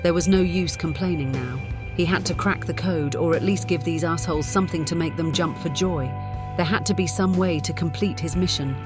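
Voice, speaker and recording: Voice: mid-range voice, fairly quick, over music. An audiobook narrator reading a scripted story.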